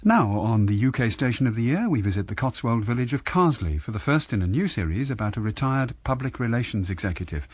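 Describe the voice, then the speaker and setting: A man's voice: medium pitch, animated, a little fast, hardly any pauses, no room sound. A man giving a scripted programme introduction as a radio announcer. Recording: dull radio recording.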